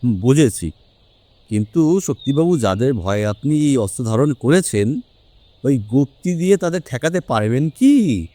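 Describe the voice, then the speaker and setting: A man playing a shrewd, self-assured detective in an audio drama, probing and commanding in his deliberate speech. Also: medium pitch, lively intonation, warm tone, dry recording, lightly acted. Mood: calm, ominous. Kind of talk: Bengali audio drama acting.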